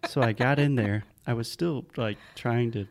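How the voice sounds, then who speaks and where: Man with a gravelly voice, casual co-host. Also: mid-range voice, normal speed. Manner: explains pronunciation patiently. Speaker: podcast co-host, English teacher. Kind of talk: casual chat.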